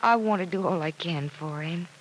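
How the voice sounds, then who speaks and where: A woman's voice: on the low side, moderate pace, lightly acted. An actress playing a caring but firm young American woman, reassuring and insistent, in a vintage radio soap. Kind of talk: 1950s radio soap acting. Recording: noisy old radio transcription.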